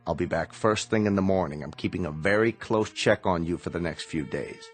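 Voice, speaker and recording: An actor playing a grave, protective American doctor in a radio drama, measured, somber and terse. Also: male voice, deep voice, soft background music, lightly acted. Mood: clinical, grave. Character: grave, protective doctor, reluctant brother of the patient.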